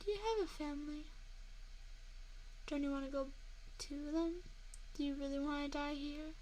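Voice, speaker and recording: A woman's voice: high voice, steady tone, normal speed, darkish tone, natural voice. A woman voicing a seductive, menacing female vampire in an intimate ASMR roleplay, mocking and taunting a single listener up close. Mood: threatening, commanding. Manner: teasing, taunting, intimate address to one listener. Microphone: close binaural 3Dio microphone. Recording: faint background noise, close binaural mic.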